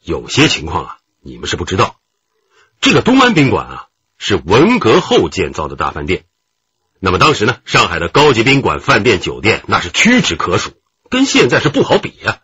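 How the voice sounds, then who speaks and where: An actor playing a genial, modest middle-aged detective chief who reminisces in a chatty, deliberate way in a radio drama. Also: male voice, lowish voice, wildly swooping pitch, pushed and bright, breathy edge, dry recording, heavily acted. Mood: animated recounting. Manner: chatty, deliberate, with drawn-out interjections. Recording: muffled, dull radio drama recording.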